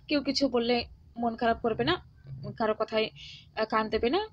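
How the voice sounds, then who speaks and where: High-pitched woman teacher. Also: dull audio. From India. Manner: instructional, repeats phrases and often checks with short tag questions. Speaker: exam-prep history teacher. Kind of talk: online class, reading quiz questions and answers with encouraging advice.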